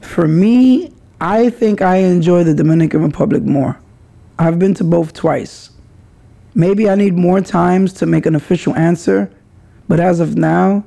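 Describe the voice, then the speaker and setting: Gravelly high-pitched Bronx podcast man. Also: dark tone. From New York, the Bronx. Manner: rambling, hedging, conversational. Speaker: podcast host. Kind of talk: casual solo podcast.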